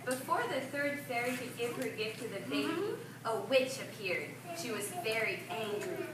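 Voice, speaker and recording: A woman's voice: on the high side, normal speed. A woman telling a story to young children as the narrator of a puppet show, in an instructive way. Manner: instructive, prompts listeners with questions. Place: public library event room. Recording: echoey room from far off, noisy.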